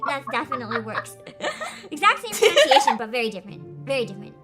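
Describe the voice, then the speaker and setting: Brief: high-pitched, lively woman streamer, giggly storyteller, with music playing under her voice. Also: fast. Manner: giggly, laughs often, animated storytelling. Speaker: VTuber streamer host. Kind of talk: casual chat stream.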